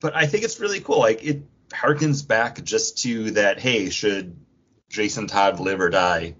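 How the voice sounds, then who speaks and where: A man's voice: on the low side, a little slow, fairly bright tone, muffled recording. A man co-hosting a podcast in casual, jokey, digressive chat, slipping into imagined quotes. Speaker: podcast co-host. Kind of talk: casual comic book discussion.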